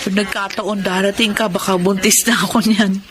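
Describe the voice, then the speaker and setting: An actress playing a caring, selfless eldest sister and young bride in a Filipino radio drama. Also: female voice, mid-range voice, flat delivery, moderate pace, a crowd in the background, close to an everyday voice. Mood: reassuring.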